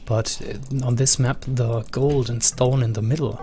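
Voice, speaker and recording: A man with a voice going hoarse, giving understated live commentary on a video game match. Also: mid-range voice, steady tone, a little slow, over music. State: losing voice, slightly hoarse. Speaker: esports match caster.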